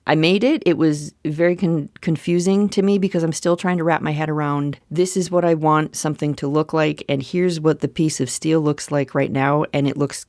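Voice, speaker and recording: A woman's voice: deep voice, steady tone, normal speed, hardly any pauses, a little mellow, dry recording, studio quality. A woman with a Minnesota accent hosting her own podcast in an upbeat, chatty way, often restarting her sentences. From central Minnesota. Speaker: podcast host. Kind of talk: solo podcast chat.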